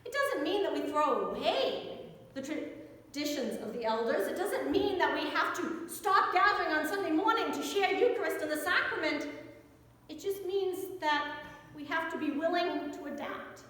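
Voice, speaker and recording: High-pitched American woman preaching, very echoey church. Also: animated, fairly bright tone. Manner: conversational, rhetorical questions, emphatic pauses. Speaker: Episcopal priest preaching. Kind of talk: sermon. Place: church interior. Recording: very echoey church with long reverb.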